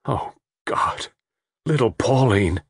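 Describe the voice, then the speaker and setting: A man's voice: medium pitch, expressive, slow, creaky, slightly rough, no echo, clean studio sound, pitched above the performer's own voice, full character voice. A man playing a grim, agitated, vengeful male character who speaks heavily and thickly in an audiobook. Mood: shaken, muttering. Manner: heavy, thick, muttering or accusing.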